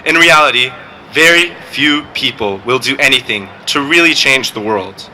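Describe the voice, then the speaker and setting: A teenage boy, valedictorian, giving a graduation speech with joking, deadpan asides. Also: mid-range voice, normal speed, slight background noise, rough recording. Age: teens. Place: outdoor graduation ceremony.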